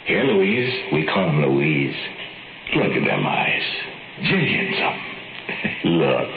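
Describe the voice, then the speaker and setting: An actor playing a gloating, sinister low-life man with a folksy, taunting manner in an old-time radio horror play. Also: big pitch swings, vocal fry, a little hiss or hum, full character voice. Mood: gleeful, doting. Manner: folksy, taunting, drawn-out menace. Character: gloating sinister low-life man feeding victims to giant pet fly. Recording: muffled, echoey old radio broadcast.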